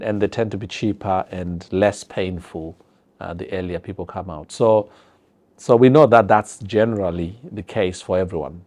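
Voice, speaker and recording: A man's voice: low voice, darkish tone. A man with a Zimbabwean accent, a health professional giving an earnest, explanatory interview.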